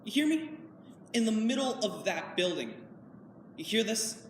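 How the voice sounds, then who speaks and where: Woman doing bitter pleading son, anguished, loud then hushed, distant mic, roomy hall. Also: medium pitch, big pitch swings, unhurried, slight background noise. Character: bitter grown son pleading with his father. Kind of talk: audition monologue. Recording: echoey hall, from far off.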